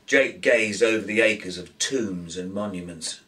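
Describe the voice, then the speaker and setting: Male actor for expressive novel narration, recorded a little way from the mic. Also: low-pitched, unhurried, far from the mic, slight background noise. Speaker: actor reading a novel aloud.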